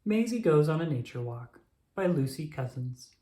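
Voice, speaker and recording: A woman reading a children's storybook aloud with expressive, animated storytelling and emphatic exclamations. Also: deep voice, moderate pace. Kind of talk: scripted read-aloud narration for young children. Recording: roomy, echoing sound.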